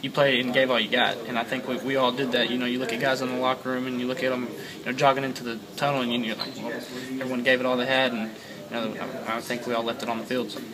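Young college QB after a game, flat quick delivery, stumbling over fillers, busy chatter around. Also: male voice, mid-range voice, rough recording. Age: young adult. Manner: halting, many fillers and repeated words. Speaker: college quarterback. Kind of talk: postgame media scrum. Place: crowded locker-room interview area, indoors. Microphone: several handheld mics and phones held close. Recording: busy crowd chatter behind.

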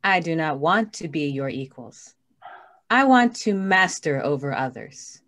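Woman as a low, defiant robot. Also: animated, unhurried, fairly bright tone, heavily acted. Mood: defiant, commanding. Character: defiant robot, cold and resentful of humans. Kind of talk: old-time radio drama acting.

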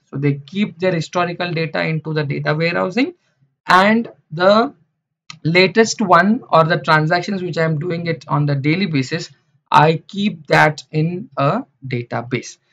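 A man's voice: on the high side, fairly bright tone. A man teaching beginners over slides, repeating phrases with many fillers and restarts. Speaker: instructor. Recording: dull lo-fi sound.

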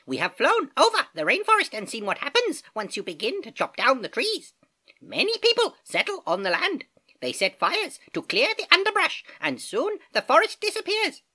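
A storyteller voicing a toucan in a storybook with a squeaky, very high voice. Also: huge pitch swings, moderate pace, pushed and bright, heavily acted. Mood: pleading.

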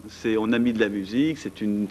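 Chatty low-voiced man in a TV studio. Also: fairly quick, dark tone, slight background noise. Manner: chatty, hesitant, many fillers and restarts. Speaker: art event organizer. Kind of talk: TV studio interview. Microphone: desk mic.

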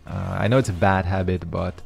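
A man's voice: sits low, fairly even intonation, brisk, gravelly, faint music behind. Laid-back young man commentating his gameplay. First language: Hungarian. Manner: rambling, loose slang, frequent fillers. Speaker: gaming channel host. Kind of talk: casual commentary over gameplay.

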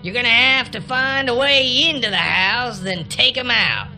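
An actress voicing a brash, folksy townsfolk creature in a western video game, colloquial and American. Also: female voice, a little high, moderate pace, pushed and bright. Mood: businesslike.

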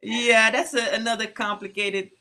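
A woman's voice: a little high, fairly even intonation, normal speed, pushed and bright. A woman from Congo, whose first language is French, chatting as a guest on a video call interview and laughing often as she tells long stories. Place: home room indoors.

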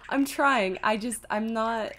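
A woman's voice: on the high side, slow, fairly bright tone, slight background noise. A woman streaming a video game, thinking aloud in casual commentary with self-deprecating, exasperated asides.

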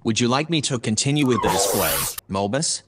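A man playing a composed, formal artificial assistant in a sci-fi audio drama, reporting in a measured, matter-of-fact way. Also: mid-range voice, expressive, husky. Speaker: voice actor.

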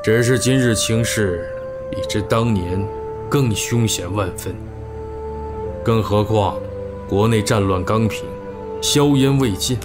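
An actor playing a shrewd royal minister in a historical audio drama, formal, deferential and persuasive. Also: male voice, fairly low pitch, slow pace, over loud music. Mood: grave pleading. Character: shrewd, measured male royal chief minister and strategist.

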